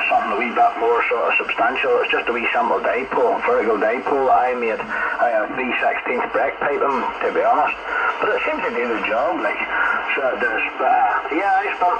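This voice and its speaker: A man with a Northern Irish accent chatting in a rambling, colloquial way as an amateur radio operator over a two-way radio. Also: medium pitch. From Northern Ireland. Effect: two-way radio transmission. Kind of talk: casual radio contact chat. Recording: muffled, noisy shortwave radio signal, loud static. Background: loud radio static.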